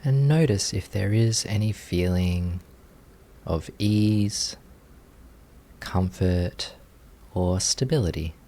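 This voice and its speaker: Low, slow, creaky-voiced man guiding meditation. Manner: gentle, unhurried, inviting, with long pauses. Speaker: meditation teacher. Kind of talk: guided meditation.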